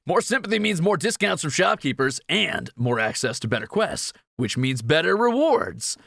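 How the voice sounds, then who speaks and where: A man's voice: a little high, very animated, normal speed, gravelly, a bit bright, no echo, clean studio sound, heavily acted. A man playing a greedy, cheeky young thief in a fantasy audio roleplay, teasing and flippant. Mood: playful mocking.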